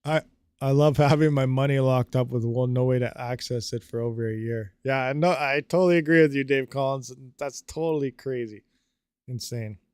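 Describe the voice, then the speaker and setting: A man's voice: mid-range voice, moderate pace, a little mellow, clear, resonant tone, dry recording, studio quality. A man hosting a live stream, chatting casually and rambling with lots of fillers while answering viewer comments. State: slightly dry throat. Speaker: livestream host.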